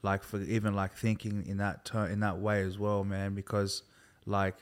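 Low, flat-voiced laid-back Australian man. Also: moderate pace, smooth. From Sydney, Australia. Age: 30s. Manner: laid-back, loose and rambling, full of "like" and "bro". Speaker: guest, hip hop artist. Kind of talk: casual conversation.

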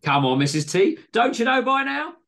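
Exasperated, demanding husband, acted by a man: raised pitch, swooping pantomime irritation, comic story. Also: sing-song, slow, gravelly, fairly bright tone, exaggerated voice. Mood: mock irritation. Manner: pantomime irritation, blunt outbursts.

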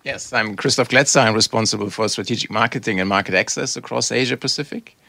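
Gravelly German-accented man. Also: mid-range voice, fast, bright, forceful tone, no room sound. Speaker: marketing executive, guest. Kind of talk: casual interview chat.

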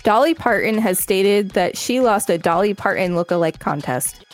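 A woman's voice: medium pitch, animated, moderate pace, faint music behind. A woman co-hosting a podcast, reading aloud and chatting casually. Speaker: podcast co-host.

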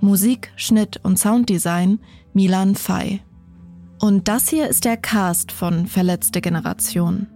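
A woman's voice: medium pitch, slow, mellow. Woman announcer reading credits over music. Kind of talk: scripted narration, reading credits. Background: prominent music.

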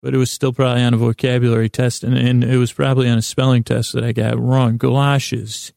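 A man hosting a podcast with a rambling, improvised bedtime comedy monologue, halting and stammering as he wanders off into digressions. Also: mid-range voice, little pitch movement, gravelly, darkish tone, dry recording, studio quality. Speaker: podcast host.

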